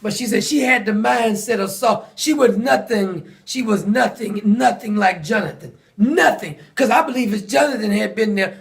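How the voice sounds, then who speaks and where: A man's voice: high-pitched, normal speed, gravelly, hardly any pauses. A man giving an informal Bible study sermon, retelling scripture in a folksy, emphatic storytelling way. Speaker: preacher in a video being watched.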